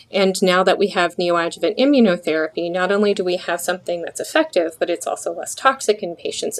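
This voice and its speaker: A woman speaking as an expert interview guest, earnest and explanatory, using emphatic repetition. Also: fairly low pitch. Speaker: physician researcher, guest expert.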